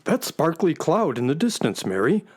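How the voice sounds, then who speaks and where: A woman playing a calm, loyal sidekick in a children's space adventure radio play. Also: low voice, normal speed, slightly rough, heavily acted. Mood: concerned.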